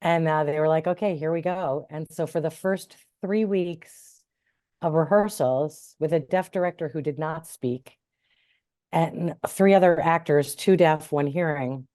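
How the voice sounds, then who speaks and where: A woman guest tells stories in a chatty, easygoing way. Her voice sits low, with a warm, mellow tone. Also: measured pace. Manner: chatty, laughs often. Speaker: guest, sign language interpreter. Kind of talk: casual interview storytelling.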